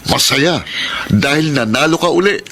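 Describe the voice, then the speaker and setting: A proud, affectionate husband in a Filipino radio drama. His voice is bright and forceful, medium in pitch, with faint noise behind. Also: animated, moderate pace. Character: supportive, proud husband of a woman mayor.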